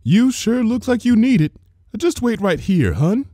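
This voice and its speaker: A kindly, fluttery baker lady from a pony story, voiced by a man. Her voice sits high and swoops about, with a hesitant, folksy fussiness. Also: sing-song, a little slow, dark tone, no echo, studio quality, full character voice. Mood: flustered, fussing.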